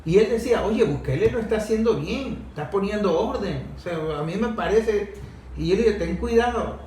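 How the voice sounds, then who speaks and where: High-pitched Venezuelan man in an echoey room. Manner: emphatic, repeats phrases in lists. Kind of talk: opinionated political discussion. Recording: echoey room, distant mic, faint noise.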